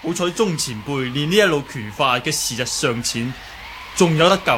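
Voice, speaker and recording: A man acting a calm young martial artist who explains healing humbly and politely in Cantonese radio drama. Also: on the high side, moderate pace, a little hiss or hum. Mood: calm, diagnostic.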